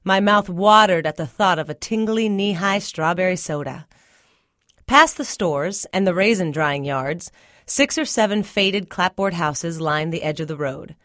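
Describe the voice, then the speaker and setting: A woman's voice: on the low side, measured pace, fairly bright tone, no echo, studio quality. A woman reading a first-person literary short story aloud as scripted narration. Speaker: story reader.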